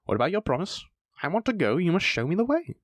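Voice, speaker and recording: A man's voice: high-pitched, big pitch swings, quick pace, dry recording, pitched above the performer's own voice. A man voicing a small, plucky male hobbit who talks insistently and pleads.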